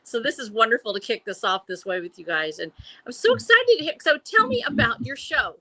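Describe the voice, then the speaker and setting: A woman's voice: a little high, very animated, a little fast, sharp tone, no room sound, poor audio. A woman in her sixties, a guest on a live video call stream, chatting in a bubbly way and laughing often. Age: 60s. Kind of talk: casual chat on a live stream.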